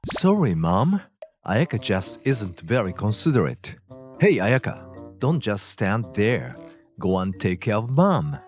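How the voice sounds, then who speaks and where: An actor playing a selfish, demanding middle-aged husband in a dubbed comic story, dismissive and entitled, with music behind him. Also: male voice, lowish voice, sing-song, slow pace.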